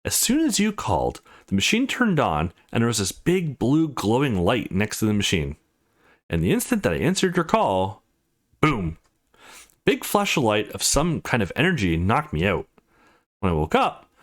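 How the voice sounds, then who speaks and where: A man's voice: fairly low pitch, huge pitch swings, normal speed. A man voicing an earnest, troubled teenage boy in a visual novel, serious and insistent as he explains himself. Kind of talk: visual novel character reading.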